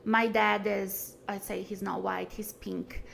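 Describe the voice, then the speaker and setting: Gravelly woman in her forties. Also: medium pitch, little pitch movement, slow pace, fairly bright tone. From Brazil. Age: 40s. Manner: earnest, frank, with many fillers and restarts. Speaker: podcast guest. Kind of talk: casual conversation.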